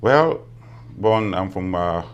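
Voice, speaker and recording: A man's voice: deep voice, animated, takes it slowly, dull audio, a little hiss or hum. A man with a Cameroonian English accent, a guest talking casually in an interview, chatty and laughing with pidgin-flavoured English. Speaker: guest, business founder.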